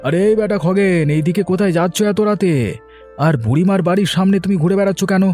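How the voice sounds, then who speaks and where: A man's voice: sits high, a little fast, darkish tone, soft background music, natural voice. A man voicing a stern, suspicious villager in a cartoon, confrontational and accusing. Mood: suspicious, challenging. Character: stern, suspicious villager standing guard for others. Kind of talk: cartoon character dialogue.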